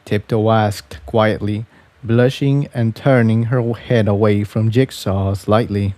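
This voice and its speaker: A man narrating a fan fiction story in a measured, scripted reading style. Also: lowish voice, fairly even intonation, warm tone, no echo.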